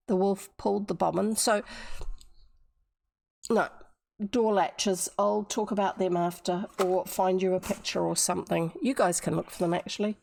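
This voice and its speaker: A woman's voice: medium pitch, gravelly, a little mellow. Kiwi woman reading a fairy tale on stream, keeps wandering off to chat and explain stuff. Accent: New Zealand. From New Zealand. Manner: chatty, digressive, explains old words. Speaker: livestreamer reading a storybook. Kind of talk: reading aloud with chatty asides.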